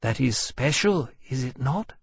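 Man voicing a gentle, earnest young dragon, gravelly. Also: mid-range voice, lively intonation, a little slow, no echo, full character voice. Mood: gentle interest. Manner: earnest, polite, innocent.

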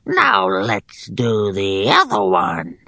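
A man voicing a huge, cruel warrior goblin leader in a fantasy audiobook, wheezing and rasping, with menacing, mocking, drawn-out words. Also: fairly low pitch, wildly swooping pitch, sharp tone, no room sound, clean studio sound, full character voice. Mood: gloating cruelty.

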